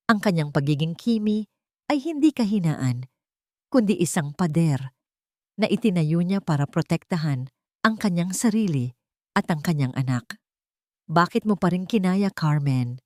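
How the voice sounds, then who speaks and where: Woman narrating, lowish voice, dry recording. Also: darkish tone, dry recording. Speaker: story narrator. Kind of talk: scripted dramatic narration of a romance story.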